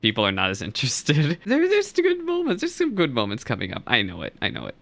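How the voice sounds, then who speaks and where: Bright-voiced young man, casual reaction host. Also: mid-range voice, wildly swooping pitch, fast talker, vocal fry, dry recording. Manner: informal, rambling. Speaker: gaming reaction video host.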